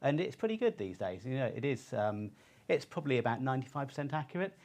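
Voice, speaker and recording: A middle-aged man presenting informally on a webinar, speaking haltingly with pauses. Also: medium pitch, very animated, darkish tone. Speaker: panelist presenting research.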